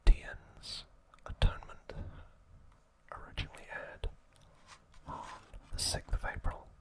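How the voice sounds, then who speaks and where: A man narrating a scripted ASMR video in a breathy whisper, with deliberate phrasing in short pieces. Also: fairly bright tone. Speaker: host of an ASMR channel.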